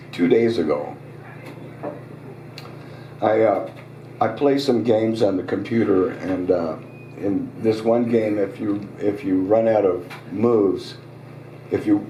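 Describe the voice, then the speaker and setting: A man hosting a live reading, hoarse, telling his own short story in a relaxed, conversational way with small asides. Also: low voice, slight background noise. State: hoarse, voice getting raspy. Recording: roomy, distant restaurant recording.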